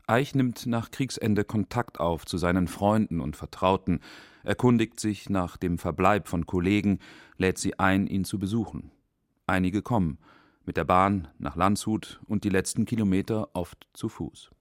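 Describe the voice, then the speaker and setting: Male documentary narrator, deep register, factual clipped phrasing, scripted radio read. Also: moderate pace, dry recording, clean studio sound. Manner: crisp short phrases, factual.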